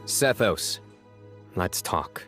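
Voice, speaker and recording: An actor playing a stern, resolute guardian in a video game, speaking in a serious, measured, formal way. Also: male voice, fairly bright tone, faint music behind. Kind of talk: English game voice acting.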